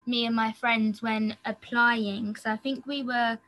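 A woman's voice: on the high side, level tone, unhurried, fairly bright tone, poor audio. A student in her late teens answering interview questions casually and a little hesitantly. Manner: hesitant, says "so" and "like" a lot.

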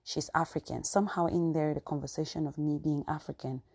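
A woman's voice: deep voice, steady tone, brisk, darkish tone, slightly rough. A young woman from Cameroon with a Cameroonian accent, hosting a podcast and telling a personal story in an earnest, reflective way. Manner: earnest, reflective, with frequent fillers. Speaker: podcast host.

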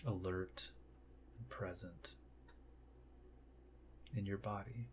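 Low-voiced man guiding meditation, noisy background. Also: fairly even intonation. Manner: gentle, unhurried, long pauses between short phrases. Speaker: meditation guide. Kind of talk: guided meditation.

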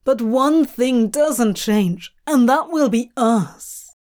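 A woman's voice: a little high, expressive, slow, a little mellow. A woman voicing a sardonic male demon in a story, blustering, exasperated and reassuring by turns. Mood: exasperated, tender.

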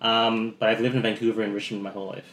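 A man's voice: on the low side, level tone, quick pace, fairly bright tone. A man from Canada, co-hosting a podcast, telling a long story in a rambling way and laughing as he goes. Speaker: podcast co-host. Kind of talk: casual chat. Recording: echoey room with faint noise.

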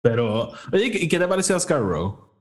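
A man's voice: a little high, sing-song, brisk. A man with a Puerto Rican accent hosting a casual, informal review chat, mixing English phrases into his Spanish. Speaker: podcast host.

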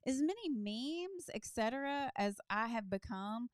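A woman's voice: on the high side, lively intonation, a little slow, dry recording, studio quality. Rambling woman telling a story. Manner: rambling, many side stories and ums. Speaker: podcast guest. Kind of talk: casual storytelling chat.

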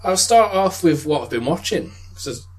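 A man's voice: fairly high pitch, expressive, normal speed, darkish tone. A young man with a blocked-up nose from a cold, chatting casually as podcast co-host, laughing often and swearing freely. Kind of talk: casual chat.

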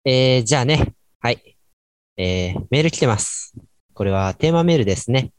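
A man's voice: mid-range voice, animated, moderate pace, no echo, close to an everyday voice. A man playing a laid-back late-night radio host and dry straight man in an amateur radio drama. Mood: relaxed, wry.

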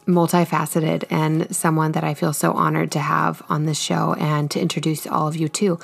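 A woman hosting a podcast and opening the episode in a casual, gushing way, nasal and sniffly from a cold and talking fast. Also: low-pitched, vocal fry. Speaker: podcast host.

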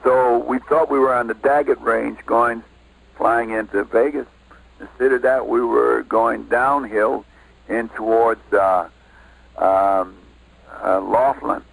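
An older man with an American accent casually reminiscing as an interview guest, halting and repeating himself. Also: mid-range voice, fairly even intonation, vocal fry, no echo, rough recording.

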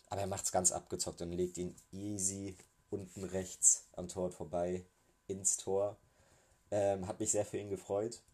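Low, flat-voiced man with a cold. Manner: hesitant, many filler sounds, thinking aloud. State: has a cold. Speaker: football fan reviewing a match. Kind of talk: casual spoken match recap.

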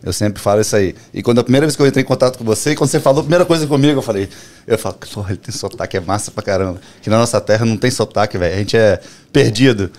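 Excitable joking man co-hosting a podcast. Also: lowish voice, rapid-fire. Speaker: podcast co-host. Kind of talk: casual podcast chat.

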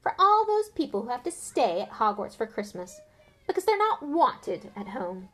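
A woman voices a spoiled schoolboy bully in a storybook. He drawls slowly and mockingly, every word a sneer. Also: on the high side, very animated, voice pushed up.

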